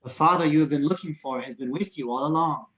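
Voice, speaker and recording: Male reader as grave, all-knowing psychic creature; lightly raised pitch, measured delivery, muffled audio. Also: fairly bright tone, close to an everyday voice. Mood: solemn. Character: solemn powerful psychic creature speaking like an oracle. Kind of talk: online scripted table reading.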